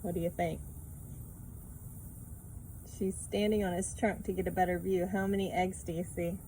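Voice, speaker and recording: A woman's voice: on the low side, level tone, moderate pace, mellow, slight background noise, poor audio. A woman reading a picture book aloud to children, with casual asides and simple questions to the listener.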